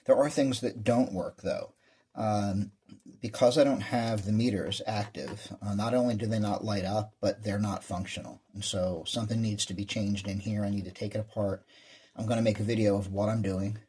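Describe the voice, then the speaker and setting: A man's voice: fairly low pitch, normal speed, a little mellow, slightly rough. A man giving a casual, plain-spoken walkthrough as a hobbyist presenter, explaining things as he goes.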